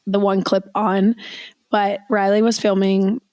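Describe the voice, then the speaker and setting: A young woman co-hosting a podcast, hoarse and raspy from losing her voice, chatting casually with lots of "like" fillers. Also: medium pitch, level tone, unhurried, darkish tone, no room sound. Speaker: podcast co-host. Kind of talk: casual chat.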